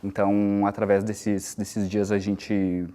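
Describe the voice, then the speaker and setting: A man, an actor explaining haltingly in a casual interview. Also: fairly low pitch, monotone, dark tone.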